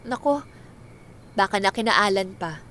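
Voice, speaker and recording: A woman's voice: medium pitch, expressive, a little slow, bright, forceful tone, slight background noise. A woman acting a caring, reassuring middle-aged family friend in a Filipino radio drama. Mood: chatty. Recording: background music under the voice.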